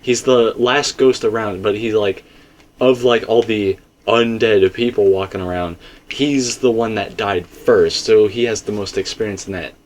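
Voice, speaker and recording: A man's voice: lowish voice, fairly even intonation, unhurried, a little hiss or hum. A man hosting a casual, speculative discussion, talking in a rambling, stop-and-start way and often correcting himself. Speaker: host of a game theory discussion.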